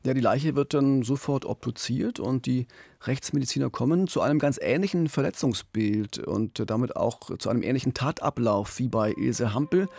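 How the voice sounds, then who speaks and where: Male podcast host, middle-aged, conversational crime storyteller with fry in the voice, studio mic, light music underneath. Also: mid-range voice, darkish tone. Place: small radio studio. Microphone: close studio boom mic with pop filter.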